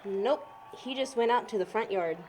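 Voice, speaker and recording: A woman's voice: a little high, moderate pace, darkish tone, slight background noise, lightly acted. A young woman playing a blunt, sardonic teenage churchgoing girl in an amateur audio drama, frank and slangy.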